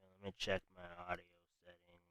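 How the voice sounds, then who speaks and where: A man with a calm, rambling way of talking, hosting an unscripted live weather stream and speaking to his viewers. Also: moderate pace. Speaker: livestream weather host.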